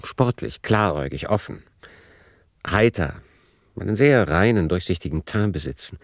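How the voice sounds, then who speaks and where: An actor playing a detached narrator who sets out notes for a novel in a clinical, deliberate way, in radio drama style. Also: male voice, deep voice, lively intonation, no room sound, studio quality. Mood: analytical. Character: analytical male narrator dictating notes on a novel.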